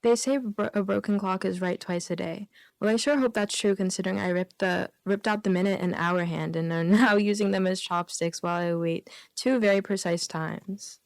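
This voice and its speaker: A woman's voice: medium pitch, steady tone, normal speed, gravelly, few pauses, no room sound. A woman guest on a radio comedy show, reading jokes aloud and bantering casually. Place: radio studio.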